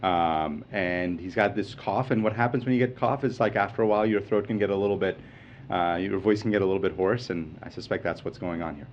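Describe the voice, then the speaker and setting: A lower-pitched man fielding questions at a press briefing, patiently explaining and then lifting his voice with upbeat reassurance. The audio is slightly muffled, with a faint hiss underneath. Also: brisk. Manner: reassuring, explanatory, animated emphasis. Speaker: medical official at briefing.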